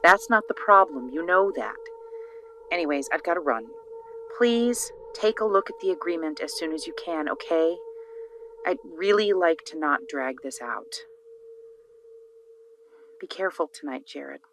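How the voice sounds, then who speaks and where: An actress playing an exasperated but caring estranged wife in an audio drama, speaking in a weary, pointed manner. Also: female voice, deep voice, expressive, measured pace, long pauses, a bit bright, a little hiss or hum, exaggerated voice.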